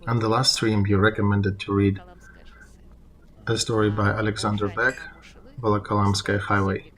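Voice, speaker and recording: A man interpreting a political discussion live, in halting phrases as he translates on the fly. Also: fairly low pitch, level tone, a bit bright, poor audio.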